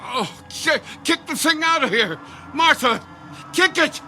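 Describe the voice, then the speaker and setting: An actor playing an anxious, protective husband in a radio drama, with a halting, stammering, urgent delivery. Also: male voice, very high voice, animated, sharp tone, soft background music, exaggerated voice. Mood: urgent, alarmed.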